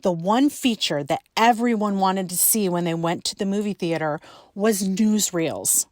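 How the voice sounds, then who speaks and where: A woman's voice: medium pitch, darkish tone, dry recording, clean studio sound. A woman reading a scripted educational narration in the manner of a presentation voice-over. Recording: music behind the voice. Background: music.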